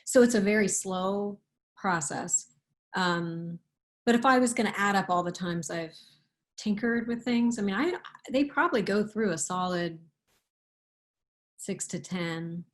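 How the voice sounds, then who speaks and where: A woman speaking as an author in a casual interview, thoughtful and halting, often restarting and rewording her sentences. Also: medium pitch. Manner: halting, thoughtful, with repeated self-corrections. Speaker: picture book author being interviewed.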